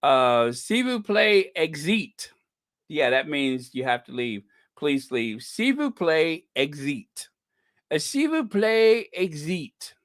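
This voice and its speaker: A man hosting a casual livestream, chatting in a playful, theatrical, joking way with halting, drawn-out phrasing. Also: on the high side, sing-song, fairly bright tone, dry recording, clean studio sound. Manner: playful, joking, mock-indignant theatrical delivery. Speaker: livestream host.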